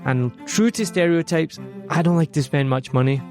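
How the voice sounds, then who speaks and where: A man's voice: on the high side, moderate pace, a little mellow, soft background music. Scottish man, upbeat podcast host. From Scotland. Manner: upbeat, promotional, earnest. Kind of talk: scripted podcast monologue. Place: home recording studio.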